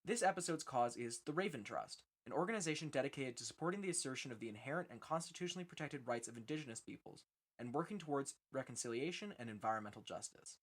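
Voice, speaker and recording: A man's voice: mid-range voice, expressive, quick pace. A man reading a scripted introduction as presenter, formal and earnest. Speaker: presenter introducing a radio play. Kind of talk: scripted announcement.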